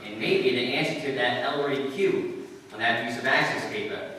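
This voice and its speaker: Man playing a bright, slangy sergeant, echoey hall. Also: medium pitch, normal speed, close to an everyday voice. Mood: weary. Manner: plain-spoken, slangy. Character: gruff, weary male police sergeant, plain-spoken sidekick. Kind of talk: live old-time radio drama acting. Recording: distant, very echoey hall, faint noise.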